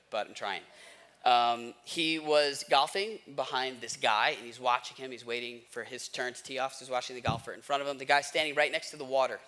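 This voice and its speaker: An American man giving a conversational sermon as a pastor, emphatic, repeating key phrases with pauses. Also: fairly high pitch, sharp tone. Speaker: pastor preaching to a congregation.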